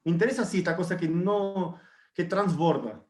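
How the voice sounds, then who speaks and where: A man speaking as a guest in a virtual conference discussion, deliberate and provocative, over a video call. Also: high-pitched, darkish tone, roomy sound.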